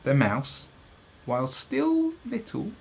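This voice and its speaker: A middle-aged man reading a children's story aloud, dramatically and with deliberate pauses. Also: medium pitch, wildly swooping pitch, gravelly, slight background noise. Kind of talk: expressive children's storybook narration.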